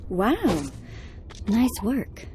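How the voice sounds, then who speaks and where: A woman's voice: a little high, big pitch swings, extremely slow, very noisy, heavily acted. An actress playing a dry, underappreciated alien woman helper in a video game cutscene, sardonic and unimpressed. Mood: dry sarcasm.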